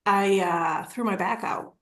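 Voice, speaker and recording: A woman's voice: mid-range voice, a little slow. Woman in her forties telling her story. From Argentina. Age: 40s. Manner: rambling, restarts, acts out quoted dialogue with laughs. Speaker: podcast guest. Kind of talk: casual interview storytelling.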